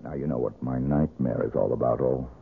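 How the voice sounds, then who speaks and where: A man's voice: extremely low pitch, brisk, vocal fry, breathy edge, exaggerated voice. An actor playing a tormented, volatile young man in a 1970s radio drama, with a bitter and intense delivery. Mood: bitter, haunted. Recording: muffled vintage radio drama recording.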